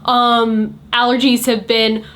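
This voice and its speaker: A chatty, sassy woman walks through a handbag review in a casual, talkative way. Her voice sits a bit high and carries a light hoarseness. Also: level tone, takes it slowly, fairly bright tone. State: a little hoarse. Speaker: luxury handbag reviewer, channel host.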